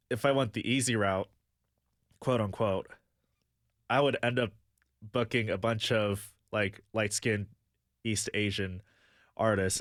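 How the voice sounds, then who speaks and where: A man's voice: fairly low pitch, a bit bright, dry recording, clean studio sound. A young man from the United States, a guest on a radio talk show, answering earnestly and thinking aloud with many fillers. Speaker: guest, music booker.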